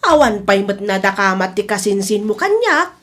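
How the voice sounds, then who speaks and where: An actress with a Filipino accent playing a polite, evasive elderly aunt in an Ilocano radio drama. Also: female voice, medium pitch, sing-song, moderate pace, fairly bright tone.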